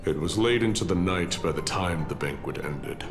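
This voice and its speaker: An actor playing a weary, philosophical warrior in a video game, speaking in a solemn, measured, grandiloquent way with a gravelly voice. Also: male voice, low voice, over music, lightly acted. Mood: somber, reflective. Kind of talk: dramatic game voice acting, storytelling monologue.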